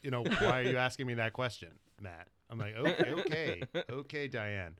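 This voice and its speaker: A man's voice: mid-range voice, big pitch swings, normal speed, a bit bright. A man hosting a casual comedic interview, joking as he talks and often restarting his sentences. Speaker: podcast host.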